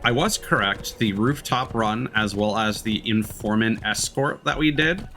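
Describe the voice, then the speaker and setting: Low-voiced man streaming, game music behind. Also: a little slow, bright, forceful tone. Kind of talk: casual let's-play commentary. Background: video game music.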